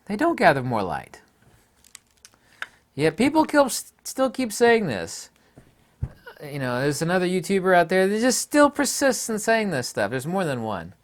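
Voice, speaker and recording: Man, opinionated vlogger, blunt, scornful, emphatic, very animated intonation. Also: high voice, unhurried, pauses often. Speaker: photography YouTuber. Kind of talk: opinionated vlog monologue.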